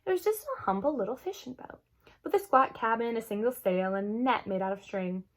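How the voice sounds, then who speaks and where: A woman reading a children's chapter book aloud as an expressive storyteller. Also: fairly high pitch, fairly quick.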